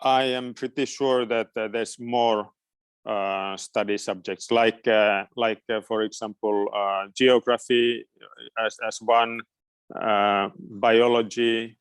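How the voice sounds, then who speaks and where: Slow, clear middle-aged man from Finland. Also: on the low side, level tone, no room sound. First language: Finnish. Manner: hesitant, searching for words. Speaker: guest education expert. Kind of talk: informal question-and-answer talk.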